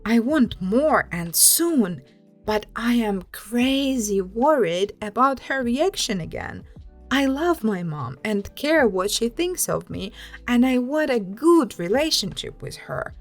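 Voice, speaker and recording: A woman's voice: fairly high pitch, very animated, takes it slowly, soft background music. A woman hosting a story channel, reading first-person written accounts aloud and adding casual commentary. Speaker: host reading online stories with commentary.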